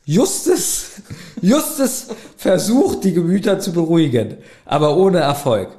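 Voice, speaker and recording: A man co-hosting a podcast in a loose, chatty way. His voice sits fairly high and swings widely in pitch as he fires questions at his partner. He switches into reading plot summaries without losing that animated lilt. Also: unhurried. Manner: lively, keeps asking his partner questions. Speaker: podcast co-host.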